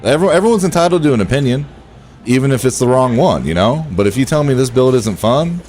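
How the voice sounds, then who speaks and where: A man with a relaxed voice, chatting casually to viewers as he streams a game and thinks aloud. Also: mid-range voice, fast, slight background noise. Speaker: game livestreamer. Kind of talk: casual livestream chat over gameplay.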